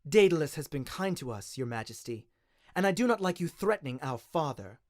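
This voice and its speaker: A man voicing a polite, flattering young princess with a steely streak in an audio story. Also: fairly high pitch, a little fast, no room sound, studio quality. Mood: defiant.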